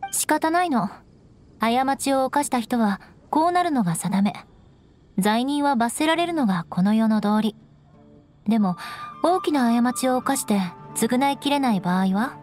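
High-voiced proud noblewoman knight. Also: animated, a little mellow, soft background music. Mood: calm, resigned. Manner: composed, haughty, measured. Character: proud, formal, stoic young noblewoman knight. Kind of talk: Japanese anime game voice acting.

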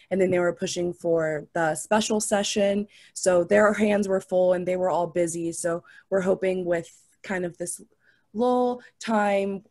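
Lower-pitched young woman on a panel. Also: a little mellow. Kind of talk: informal panel answer. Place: room at home, on a video call. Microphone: video call.

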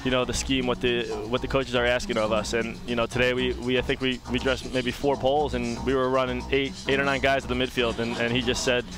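A man's voice: medium pitch, little pitch movement, fast talker, few pauses, music in the background. A young man, a lacrosse player, giving a post-game interview on a headset at an outdoor stadium sideline. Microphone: broadcast headset mic.

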